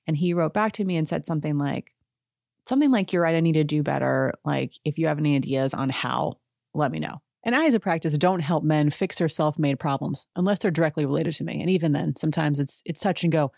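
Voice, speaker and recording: A woman hosting a podcast in a casual, chatty way, sarcastic and quick to laugh. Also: sits low, creaky, darkish tone, no echo, studio quality. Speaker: podcast host. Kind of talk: casual chat.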